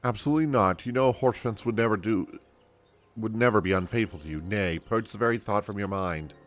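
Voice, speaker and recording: A man's voice: lowish voice, a little fast, a bit bright, close to an everyday voice. A man voicing a loyal, earnest noblewoman mentor from a fantasy game, in stately, old-fashioned phrasing. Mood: reassuring, firm.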